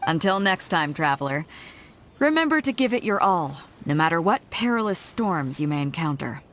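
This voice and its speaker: A woman's voice: sits low, sing-song, normal speed, fairly bright tone. An actress playing a bold, confident female ship captain in a video game dub, with a commanding manner. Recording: game dub with faint music.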